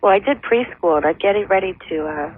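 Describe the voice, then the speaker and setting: A woman's voice: medium pitch, quick pace, breathy edge. Young woman on a tinny phone line. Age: 20s. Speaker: phone-in caller, teacher. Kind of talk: casual advice call. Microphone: telephone. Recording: dry, tinny telephone line on radio.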